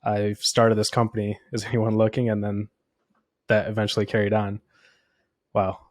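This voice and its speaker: A man's voice: on the low side, monotone, moderate pace, dry recording, clean studio sound. A man hosting an interview podcast, introducing his guest and asking questions. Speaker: podcast host.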